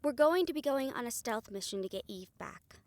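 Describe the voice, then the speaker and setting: A woman's voice: fairly high pitch, expressive, a little fast, no echo, close to an everyday voice. A woman playing a defiant, determined young woman in a fantasy audio roleplay, earnest and sardonic when challenged. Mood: firm.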